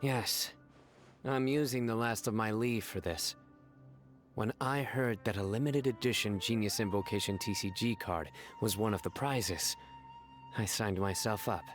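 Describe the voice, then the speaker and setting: An actor playing a stoic, earnest man in a video game, with a formal and deadpan way of talking. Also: fairly low pitch, unhurried, faint music behind, natural voice.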